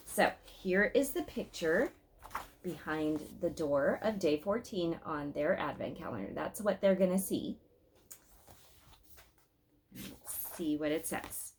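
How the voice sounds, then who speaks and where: A woman's voice: lowish voice, a little hiss or hum. Woman reading a storybook aloud. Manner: expressive, addresses listeners directly with questions. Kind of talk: storybook read-aloud with asides to young listeners.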